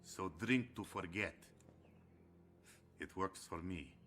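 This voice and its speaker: Boisterous deep-voiced Soviet sergeant. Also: male voice, slow pace, a bit bright, faint music behind. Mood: bitter, grim. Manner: hearty, larger than life. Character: boisterous hard-drinking Soviet army sergeant, war-hardened veteran. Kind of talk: dramatic game cutscene acting.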